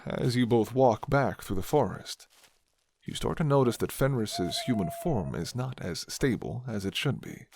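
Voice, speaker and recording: Low-voiced man narrating a fantasy story. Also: a little mellow. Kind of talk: scripted second-person fantasy story narration.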